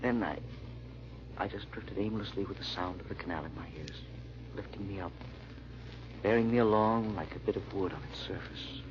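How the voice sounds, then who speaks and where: An actor playing a haunted, earnest gentleman sleuth, with dramatic, hesitant delivery in old-time radio drama style. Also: low-pitched, normal speed, long pauses, lightly acted. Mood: haunted, brooding. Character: haunted, earnest gentleman amateur sleuth narrating his search. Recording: muffled old radio recording with hiss.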